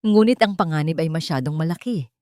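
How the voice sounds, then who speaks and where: A woman's voice: deep voice, brisk, warm tone, no echo, studio quality, natural voice. A woman voicing a fearful, self-sacrificing mother with a trembling voice in an audio drama. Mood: resigned pleading.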